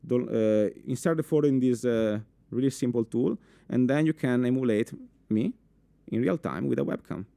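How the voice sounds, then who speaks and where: A young man with an Italian accent giving a live conference talk in a full room, animated, with many fillers and restarts, asking the audience questions. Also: mid-range voice, moderate pace, vocal fry, hardly any pauses, no room sound. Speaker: conference speaker.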